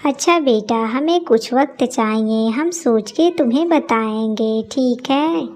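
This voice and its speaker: A woman voicing a caring, calm middle-aged mother in a cartoon story, gentle and reassuring. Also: fairly high pitch, slow.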